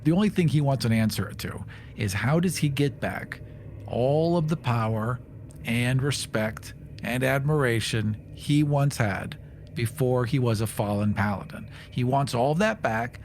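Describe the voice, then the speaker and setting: A man's voice: medium pitch, unhurried, darkish tone, a little hiss or hum. A man playing a tabletop game, casually narrating what his character thinks and does.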